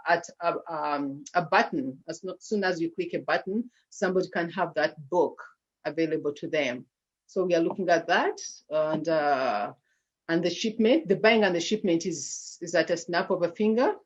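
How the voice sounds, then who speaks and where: A woman's voice: fairly low pitch, muffled recording. A woman with a Ugandan accent presenting slides on a video call, hesitant, with many fillers and restarts. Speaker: nonprofit founder presenting slides.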